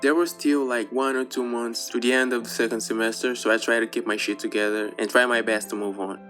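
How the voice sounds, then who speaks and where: A man's voice: medium pitch, steady tone, fairly quick, a bit bright. Young man, Portuguese-accented English. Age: young adult. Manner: casual, candid, swears offhandedly. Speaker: YouTuber. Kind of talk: personal storytelling narration. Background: faint guitar music.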